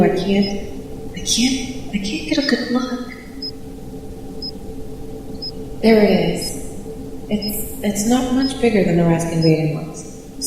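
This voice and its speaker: A woman playing a stranded, proud but frightened news reporter in a sci-fi audio drama, speaking haltingly in broken phrases. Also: mid-range voice. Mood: uneasy dread. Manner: halting, breathy delivery with broken, repeated phrases. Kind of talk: audio drama monologue, sci-fi radio play. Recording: very echoey, long reverb, distant, noisy ambience. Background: clear ambient noise.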